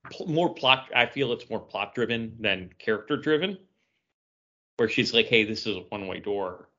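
A man's voice: lowish voice, animated, normal speed, a bit bright, muffled recording. A man co-hosting a podcast, talking casually as he reviews comic books. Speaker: podcast co-host.

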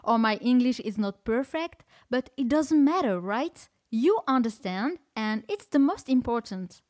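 A woman's voice: on the high side, lively intonation, unhurried, darkish tone, no room sound, clean studio sound. A French woman with a French accent hosting a podcast conversation in English, speaking emphatically and effusively. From France. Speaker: podcast host. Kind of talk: casual interview conversation.

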